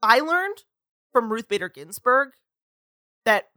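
A woman in her twenties co-hosting a casual podcast, leading the discussion as a lively storyteller. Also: fairly high pitch, takes it slowly, no room sound, clean studio sound. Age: 20s. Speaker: podcast co-host.

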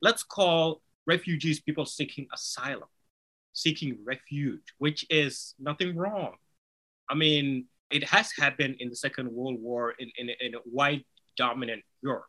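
Man, bright forceful voice, earnest, persuasive, interview guest. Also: medium pitch, measured pace. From Somalia. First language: Somali. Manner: earnest, persuasive, rhetorical "right?" tags. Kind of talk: conversational interview answer.

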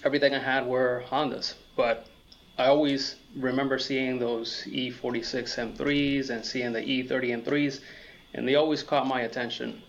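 Guy from puerto rico chatting as a guest, mid pitch, kinda muffled lo-fi sound. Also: flat delivery, normal speed. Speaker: interview guest, car enthusiast. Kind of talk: casual conversational storytelling. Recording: dull lo-fi audio.

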